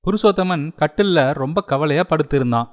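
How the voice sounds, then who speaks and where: A man's voice: on the high side, animated, brisk, a little hiss or hum. A man reading scripted narration for a children's moral story.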